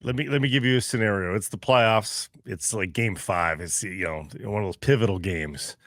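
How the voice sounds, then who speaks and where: A man's voice: fairly low pitch, normal speed, fairly bright tone, no echo, clean studio sound. A man hosting sports talk, chatting with dramatic emphasis. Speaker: sports talk show host. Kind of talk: sports radio chat.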